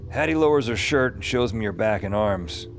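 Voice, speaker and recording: An actor playing a world-weary detective hero, narrating a hard-boiled supernatural audio drama in a wry, confiding way. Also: male voice, fairly low pitch, expressive, normal speed. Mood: wry, brooding. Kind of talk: noir-style audio drama narration and dialogue. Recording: music under the voice. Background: music.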